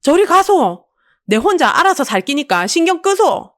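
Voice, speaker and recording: A woman voicing a wounded, distrustful street vendor with a Busan dialect and an anguished, high-strung manner in an audio drama. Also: big pitch swings, moderate pace. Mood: fearful, desperate. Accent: Busan Gyeongsang dialect.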